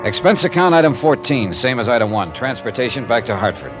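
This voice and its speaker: An American actor playing a wry, hard-boiled insurance investigator in an old radio drama, clipped and deadpan. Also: male voice, medium pitch, a little fast, close to an everyday voice. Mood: weary, wry. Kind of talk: 1950s radio detective acting, narration. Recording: vintage radio recording with music.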